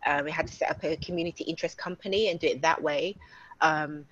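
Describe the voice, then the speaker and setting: Guest, British-born woman, lowish voice, chatty and filler-heavy, muffled video-call audio. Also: steady tone, fast talker. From United Kingdom. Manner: chatty, warm storytelling with many fillers. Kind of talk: casual online interview.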